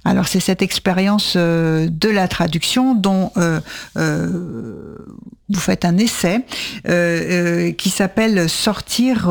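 A radio host introduces a guest and asks a long, halting question full of fillers. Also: medium pitch. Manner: halting, many fillers, frequent short backchannels. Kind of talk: radio interview. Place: radio studio.

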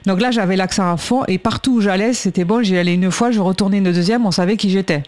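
A woman's voice: medium pitch, quick pace, background noise. Woman with a southern French accent. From Gers, southwest France. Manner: chatty, loose with fillers. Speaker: radio presenter as guest. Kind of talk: casual radio chat.

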